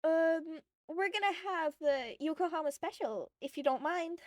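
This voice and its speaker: A woman's voice: extremely high pitch, unhurried, no echo, clean studio sound, voice pushed up. A woman voicing a bold, breezy teenage girl in a story reading, casual and self-assured. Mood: breezy, confident.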